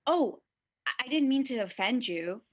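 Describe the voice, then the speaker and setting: A woman's voice: fairly high pitch, expressive, moderate pace. A woman acting a timid, awkward teenage girl who wants to fit in, hesitant and pleading, in a scripted read. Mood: flustered, apologetic.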